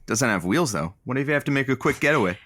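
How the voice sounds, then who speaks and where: An actor playing a wry, loyal radio producer who banters in a casual, teasing, slangy way. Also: male voice, mid-range voice, lively intonation, fast talker, background noise, natural voice. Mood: wry, businesslike. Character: wry, loyal radio producer and station owner, the host's friend. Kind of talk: casual audio-drama banter.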